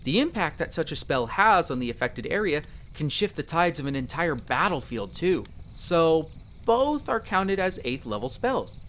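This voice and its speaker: Ambient noise sits behind a man performing a friendly young male elf explorer in a roleplay audio drama. His voice is a little higher than average and swings expressively as he speaks. He is chatty and playful, explaining things patiently straight to the listener. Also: big pitch swings, moderate pace, fairly bright tone. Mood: earnest, explanatory. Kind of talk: in-character conversational roleplay addressed to the listener.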